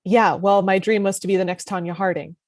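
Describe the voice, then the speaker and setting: A woman's voice: medium pitch, steady tone, a little fast, a little mellow, no echo, lightly acted. A woman reading the part of a sardonic, dismissive female TV network executive in a comedy table read. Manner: dry, dismissive, caustic.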